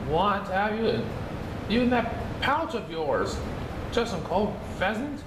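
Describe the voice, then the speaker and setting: Guy doing a rude cocky ogre for a storybook, high and demanding, echoey noisy room. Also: very animated, unhurried. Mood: snappish. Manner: blunt, demanding exclamations. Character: rude, swaggering, repulsive male ogre, loud and cocky. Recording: roomy, noisy home recording.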